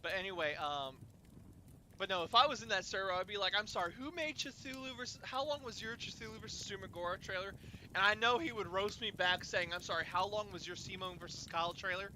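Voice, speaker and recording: A man hosting an informal chat, telling rambling stories in a halting, animated way and swearing at times. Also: high-pitched, a little hiss or hum, poor audio. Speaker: host of an informal fireside chat. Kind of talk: casual chat, rambling storytelling.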